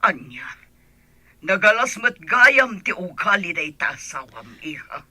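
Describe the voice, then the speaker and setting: A woman with an Ilocano accent playing a concerned older mother in a radio drama, with a husky, sharp voice. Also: on the low side, very animated, a little slow, no echo, heavily acted.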